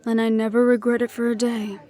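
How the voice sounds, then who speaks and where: Woman acting a composed, quietly firm department leader. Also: fairly high pitch, level tone, lightly acted. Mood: reflective, resolute. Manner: measured, reflective, quietly firm. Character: composed, dutiful middle-aged woman, proud department leader. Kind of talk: dramatic audio roleplay.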